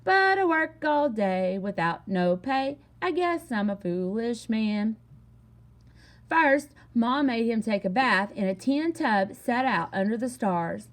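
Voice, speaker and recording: A woman reading a children's story aloud in an animated, expressive way with emphatic delivery. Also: fairly high pitch, slow pace. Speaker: storybook reader.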